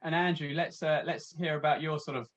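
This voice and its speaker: A man's voice: on the high side, fairly even intonation, a little fast, fairly bright tone. A man hosting a webinar, chatting loosely and in a friendly way with guests over a video call. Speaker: host. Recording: dull lo-fi video call audio.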